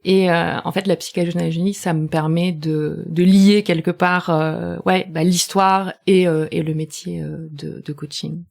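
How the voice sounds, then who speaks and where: A woman's voice: fairly low pitch, fairly even intonation, unhurried, gravelly, few pauses, darkish tone. A young woman hosting an interview podcast, who talks hesitantly with many fillers and restarts. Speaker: podcast host and coach.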